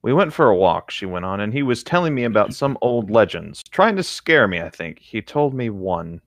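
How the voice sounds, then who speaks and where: A man with a slightly low voice reads aloud and chats casually with friends. His intonation moves around a lot. The sound is dry and close, as on a voice-call podcast. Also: big pitch swings, normal speed, no room sound. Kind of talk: casual chat and group read-aloud of a novel. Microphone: close mic on an online voice call.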